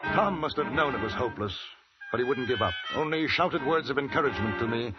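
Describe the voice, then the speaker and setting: A composed gentleman adventurer played by a man, polite and articulate, with a mid-pitched voice that moves up and down expressively. It is vintage radio drama, dull in sound with music behind. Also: animated, fast, close to an everyday voice. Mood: composed narration. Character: polite, composed gentleman adventurer narrating his frontier travels. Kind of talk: 1950s radio drama narration and dialogue. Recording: dull old radio recording.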